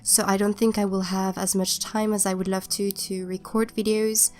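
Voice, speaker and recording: Young woman w a stuffy cold voice, rambly and unsure, english not her first language, soft music under it. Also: medium pitch, level tone, moderate pace. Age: young adult. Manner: rambling, hesitant, many restarts. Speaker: YouTuber narrating a speed build. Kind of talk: casual voiceover chat.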